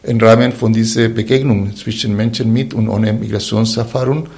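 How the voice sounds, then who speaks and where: A man hosting a radio talk show from a studio, introducing guests in a slow, halting, formal way. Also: on the low side, fairly even intonation, darkish tone.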